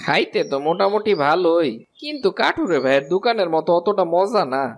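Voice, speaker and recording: A man's voice: high-pitched, big pitch swings, fairly quick. A man voicing a kindly woodcutter shopkeeper. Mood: unimpressed. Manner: earnest, folksy and plain-spoken. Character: humble kind-hearted male woodcutter shopkeeper. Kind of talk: cartoon dubbing. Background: background music.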